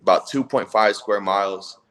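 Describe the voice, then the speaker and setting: Low-voiced young man, laid-back and rambling. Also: normal speed. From Palo Alto area, California. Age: 20s. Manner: relaxed, rambling, many fillers and "if that makes sense". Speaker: guest, football player. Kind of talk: casual interview.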